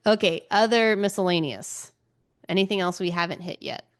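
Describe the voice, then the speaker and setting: A woman's voice: lowish voice, moderate pace, dry recording, clean studio sound. A woman co-hosting a book podcast in casual chat, thinking aloud in an informal way.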